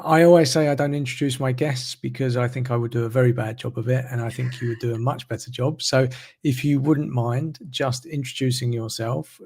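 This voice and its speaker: A man's voice: mid-range voice, gravelly, darkish tone. A man hosting a live online interview, rambling and repeating words as he talks. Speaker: host.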